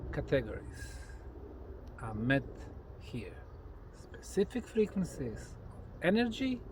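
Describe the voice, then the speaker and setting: A man presents on site as a researcher, explaining in an unscripted monologue with emphatic, deliberate phrasing and pauses. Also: mid-range voice. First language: Bosnian. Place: outdoors at an open monument site. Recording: outdoor ambient noise, urban or vehicle sounds.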